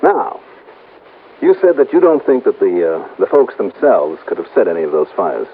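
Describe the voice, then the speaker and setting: An American actor playing a shrewd, businesslike insurance investigator in 1950s radio drama, measured and dryly probing. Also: male voice, low voice, sing-song. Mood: polite, probing. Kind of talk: 1950s radio drama acting, with first-person case narration. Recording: muffled, noisy old radio broadcast recording.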